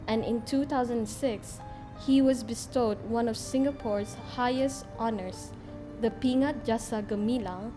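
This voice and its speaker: Woman reading a formal introduction. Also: fairly high pitch. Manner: formal, reading a prepared biography. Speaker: interview host narrator. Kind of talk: scripted introduction voice-over.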